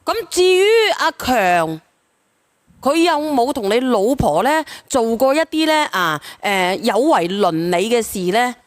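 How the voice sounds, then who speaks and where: A woman hosts a casual live call-in in speech. Her voice sits fairly high and close, with little room sound. She is blunt and animated as she questions callers. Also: a little slow, no echo. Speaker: live-stream host of a relationship call-in show.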